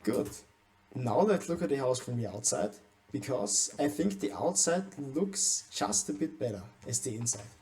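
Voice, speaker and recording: Young man, Austrian German accent, German native speaker, mellow dark voice, halting, restarts often, gaming voiceover. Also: mid-range voice, lively intonation. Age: young adult. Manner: hesitant, frequent restarts and stumbles. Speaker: gaming channel host. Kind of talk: game base tour voiceover.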